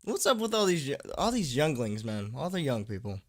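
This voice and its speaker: A man's voice: medium pitch, big pitch swings, fairly quick. A man hosting a casual livestream chat in slangy, informal talk, sick with a sore throat and tired. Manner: informal slangy young-streamer talk with frequent "dude" and "man". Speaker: livestream host and horror story narrator. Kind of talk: casual livestream chat, reading and answering viewers.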